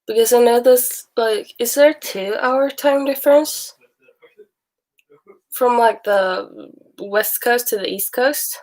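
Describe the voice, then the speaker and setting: Slow-talking young Swedish-accented woman streaming. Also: on the high side, a little mellow, rough recording. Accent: Swedish with mixed Texan, Australian and British bits. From Sweden. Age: young adult. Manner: chatty, rambling, laughs often. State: sick. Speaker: livestream host. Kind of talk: casual livestream chat with viewers.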